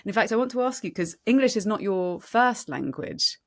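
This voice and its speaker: A woman's voice: mid-range voice, lively intonation, fairly quick. A woman hosting a podcast, talking in a chatty way with rambling asides and enthusiasm. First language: French. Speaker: podcast host. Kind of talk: conversational interview. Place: Barcelona.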